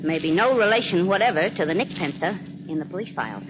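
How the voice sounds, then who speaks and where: A woman's voice: fairly low pitch, expressive, fast talker, phone-quality sound, natural voice. A woman playing a sardonic, skeptical young reporter with a dry, questioning manner in a 1940s radio drama. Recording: noisy, band-limited old radio recording.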